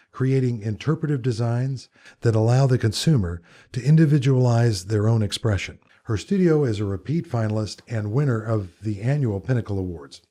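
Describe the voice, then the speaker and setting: A man's voice: mid-range voice, warm tone. A man hosting a podcast, reading a polished introduction and then asking easygoing questions. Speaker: podcast host. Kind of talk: scripted intro, then casual interview.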